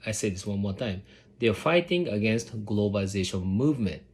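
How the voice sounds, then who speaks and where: A middle-aged man with a Japanese accent, a native Japanese speaker, talking earnestly and directly to his viewers as a channel host, measured and emphatic, repeating his key points. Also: fairly low pitch, expressive, darkish tone. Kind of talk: earnest direct-to-camera address.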